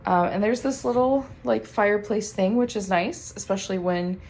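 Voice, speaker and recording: A woman's voice: mid-range voice, moderate pace, darkish tone. A young woman with a Midwestern accent, chatting casually to a handheld camera as she shows a vacation rental, with lots of fillers and light laughs. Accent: Midwestern American. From American Midwest. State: slightly raspy morning voice. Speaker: vlogger. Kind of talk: casual vlog chat. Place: vacation rental house and car.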